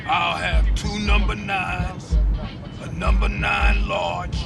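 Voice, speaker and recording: An actor voicing a big, gluttonous gangster with an American accent in a video game. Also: male voice, high voice, big pitch swings, slow, vocal fry, heavily acted. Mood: hungry, insistent. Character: big greedy male gangster friend, gluttonous. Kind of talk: video game cartoonish drama acting. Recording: distant, loud music behind.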